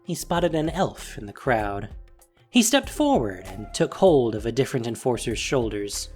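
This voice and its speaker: A man's voice: medium pitch, sing-song, moderate pace, darkish tone. A man narrating a fantasy audio drama in a dramatic, scripted storytelling style. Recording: faint music behind voice. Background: faint music.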